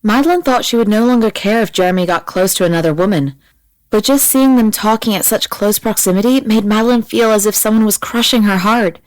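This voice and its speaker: A woman reads as an audiobook narrator. Her voice has a middle pitch, and her delivery is measured and dramatic. The recording is very dry and close. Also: a little mellow, dry recording, low-quality recording.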